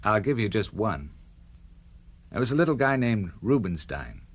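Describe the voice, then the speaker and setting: An actor playing a principled, hard-edged American senator in a radio drama, firm, cool and uncompromising. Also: male voice, on the low side, very animated, normal speed. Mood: cold resolve.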